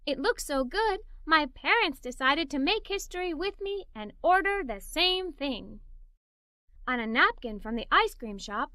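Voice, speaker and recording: A woman acts out a curious, excitable little girl narrating a children's story. The voice is high and bright, with big expressive swings, and it sounds close and dry. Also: no room sound, full character voice. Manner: animated, expressive, wide-eyed. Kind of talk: scripted children's story read-aloud.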